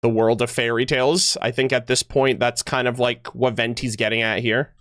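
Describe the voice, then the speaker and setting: A man giving casual livestream commentary, rambling and halting as he thinks aloud. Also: medium pitch, level tone, fairly bright tone. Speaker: streamer. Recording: music playing behind voice. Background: music.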